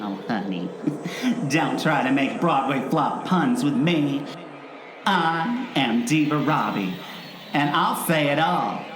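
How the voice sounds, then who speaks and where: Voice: medium pitch, animated, slow pace, noisy background. A performer playing a theatrical, gloating villain diva in an audio drama, with a camp, menacing drawl. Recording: roomy echo, music underneath.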